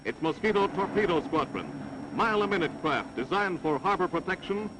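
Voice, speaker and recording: A man's voice: high-pitched, lively intonation, moderate pace, background noise. A man from the United States giving emphatic, stirring scripted narration for a vintage newsreel. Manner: emphatic, stirring announcer delivery. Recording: vintage newsreel soundtrack over loud music. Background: loud music.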